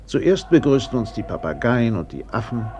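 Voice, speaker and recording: An actor playing a seasoned sea captain who narrates a radio play in a measured, deliberate way with swelling emphasis. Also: male voice, mid-range voice, very animated, a little mellow, a little hiss or hum, lightly acted. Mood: evocative. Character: weathered sea captain recalling a doomed trader in Borneo.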